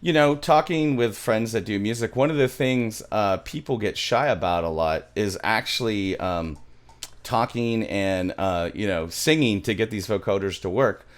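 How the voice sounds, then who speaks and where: A middle-aged man hosting a music production tutorial in a casual, rambling way, often repeating his phrases. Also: lowish voice. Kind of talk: casual screen-share tutorial talk. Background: music from the software.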